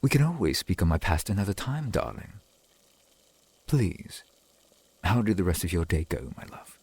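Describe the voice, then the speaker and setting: A man playing a courtly, adoring centuries-old vampire boyfriend in an ASMR roleplay, speaking in formal, old-fashioned phrases with a husky voice. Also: low voice, sing-song, moderate pace, a little mellow, dry recording, exaggerated voice. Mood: affectionate. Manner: formal, archaic, genteel phrasing.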